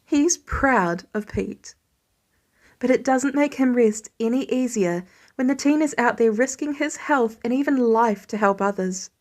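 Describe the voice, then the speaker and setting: A woman's voice: on the high side, a little slow. A woman reading a scripted piece of fan fiction as narration. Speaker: fan fiction podfic reader.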